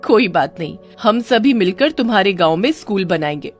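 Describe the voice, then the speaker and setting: A woman's voice: medium pitch, expressive, normal speed, faint music behind, close to an everyday voice. A woman voicing a kind, determined schoolteacher in a children's moral story. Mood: encouraging.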